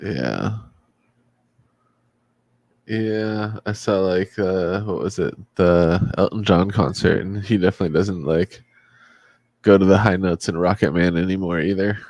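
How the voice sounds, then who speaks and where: A man's voice: low-pitched, measured pace, long pauses, darkish tone, dry recording. A young man chatting in a laid-back way with short replies as a podcast co-host. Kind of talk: casual chat and singing.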